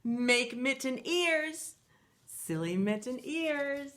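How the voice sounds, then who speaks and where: A woman's voice: high voice, expressive, drawn-out words, fairly bright tone. A middle-aged woman reading a picture book aloud to young children in a playful, sing-song manner. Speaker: children's storytime reader.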